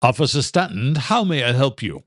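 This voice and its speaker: A man's voice: mid-range voice, big pitch swings, normal speed, no echo, clean studio sound, pitched above the performer's own voice. A man doing a formal police desk officer.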